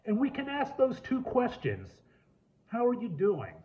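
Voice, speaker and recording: A man's voice: huge pitch swings, measured pace. Earnest man on a tinny line. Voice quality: deep voice. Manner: earnest, storytelling, repeats for emphasis. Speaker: speaker on lawyer wellbeing. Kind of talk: personal talk to an audience.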